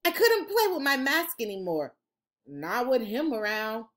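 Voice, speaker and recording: Animated, high-voiced woman reading aloud slowly. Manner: animated, dramatic, drawn-out emphasis. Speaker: elementary school teacher reading aloud. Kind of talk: expressive children's storybook read-aloud. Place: room at home. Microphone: video call, computer at desk distance.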